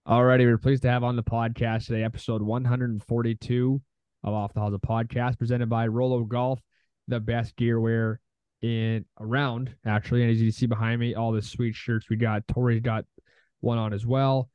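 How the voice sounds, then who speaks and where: A man's voice: mid-range voice, little pitch movement, moderate pace, smooth, no echo. A man hosting a golf podcast in casual, slangy, informal chat. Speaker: podcast host. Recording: dull lo-fi dry audio.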